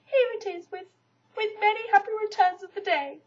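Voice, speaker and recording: A woman voicing a small, timid piglet who stammers anxiously. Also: moderate pace. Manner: timid, stammering, high-pitched. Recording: dull lo-fi recording.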